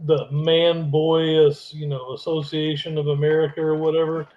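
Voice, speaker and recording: A man's voice: on the high side, flat delivery, normal speed, lo-fi sound. A man co-hosting a podcast in casual chat, opinionated, crude and rambling. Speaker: podcast co-host.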